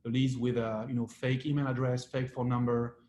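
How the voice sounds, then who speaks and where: A man with a French accent talks through a business presentation in a medium-pitched voice. He stops and restarts a lot and leans on fillers. The room gives him a noticeable echo, and a faint hum of background noise sits underneath. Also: little pitch movement, brisk, a little mellow. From France. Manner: hesitant, many fillers and restarts. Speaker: company founder giving a webinar talk. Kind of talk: presentation with slides.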